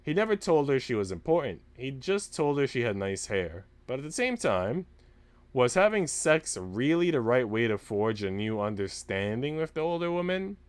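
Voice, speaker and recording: A man reading a visual novel aloud as expressive story narration for a livestream. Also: medium pitch, moderate pace, clear, resonant tone.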